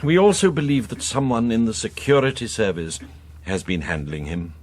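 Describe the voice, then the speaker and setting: Deep, husky British intelligence officer. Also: male voice, animated, normal speed. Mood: composed, discreet. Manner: clipped, courteous, precise. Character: composed, discreet male British intelligence officer. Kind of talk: radio drama acting.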